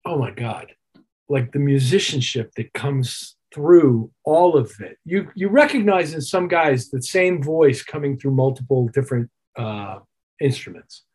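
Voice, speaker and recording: A man's voice: medium pitch, expressive, a little slow, darkish tone, breathy edge. A man speaking as a musician guest in an interview, reflective and conversational, repeating phrases for emphasis. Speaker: interview guest, keyboard player.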